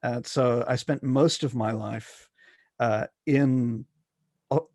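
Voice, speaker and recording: A man's voice: medium pitch, steady tone, slow, darkish tone, no echo, clean studio sound. A middle-aged man from South Africa with a mixed, mostly Australian accent, speaking as a guest scholar in an interview, hesitant and full of fillers and restarts. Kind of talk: academic interview.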